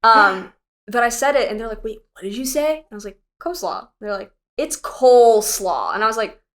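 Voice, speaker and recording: A woman's voice: on the high side, very animated, a little fast. A young adult woman from the American South with a light Southern accent, co-hosting a podcast in casual, giggly chat. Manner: giggly, storytelling, hesitant. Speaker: podcast co-host.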